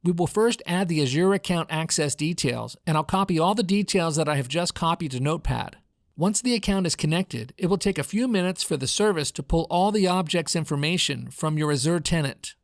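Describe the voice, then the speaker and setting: Male voice-over, General American, slightly high register, clearly enunciated tutorial narration, very dry home-studio recording. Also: animated, fairly quick, no room sound. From United States. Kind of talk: scripted product demo narration.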